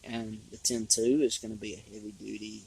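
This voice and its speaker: A man's voice: on the low side, flat delivery, normal speed, creaky, darkish tone, breathy edge, rough recording. A man casually talking about his RC trucks outdoors on a trail, thinking aloud and correcting himself as he goes. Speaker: RC hobbyist vlogger. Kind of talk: casual rambling talk.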